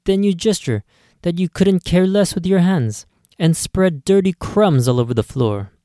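A man voicing an excitable, proud Italian male deli clerk with a put-on Italian accent and a theatrical way of speaking. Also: high voice, moderate pace, no room sound, clean studio sound, voice pushed up, natural voice. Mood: angry. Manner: theatrical, exclaiming.

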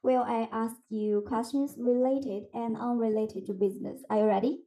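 A woman's voice: fairly high pitch, fairly even intonation, moderate pace, warm tone, low-quality recording. A woman hosting a podcast, reading scripted introductions and questions in a formal, presentational way. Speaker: podcast host.

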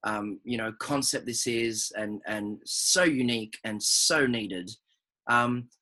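Thoughtful man guest, halting speech. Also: mid-range voice, sharp tone. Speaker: guest, performer. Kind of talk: casual interview chat.

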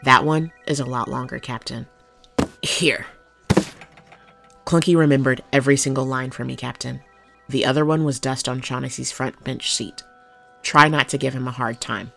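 A woman's voice: extremely low pitch, steady tone, moderate pace, creaky, pauses often, a bit bright, soft background music, full character voice. An actress playing a loyal, candid policewoman who speaks deferentially but frankly to her captain in an audio drama. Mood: matter-of-fact, candid.

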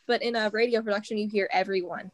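A teenage girl from a high school drama club, talking enthusiastically in a casual interview. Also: fairly even intonation, quick pace, dry recording. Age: teens. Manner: enthusiastic, gushing.

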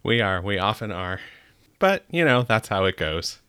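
A man's voice: fairly low pitch, sing-song, moderate pace, bright, forceful tone, clean tone. A middle-aged man hosting a podcast in a chatty, upbeat way, with the odd restart. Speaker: podcast host. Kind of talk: casual chat.

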